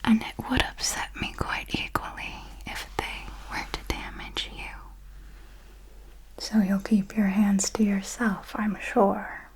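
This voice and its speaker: A woman playing an elegant vampire mentor in a whispered ASMR roleplay, formal and deliberate, possessive, teasingly menacing and protective, with a breathy delivery. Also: medium pitch, darkish tone, close to an everyday voice, poor audio. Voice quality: breathy, mostly unvoiced whisper. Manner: slow, deliberate, formal, with measured pauses.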